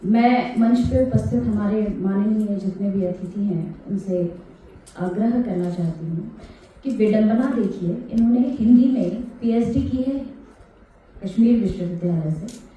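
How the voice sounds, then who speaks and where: A woman hosting a stage program in a hall, speaking in a formal, measured way. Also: medium pitch, dark tone, distant mic. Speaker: stage host. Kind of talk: stage announcing. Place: large hall. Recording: echoey hall, voice from afar.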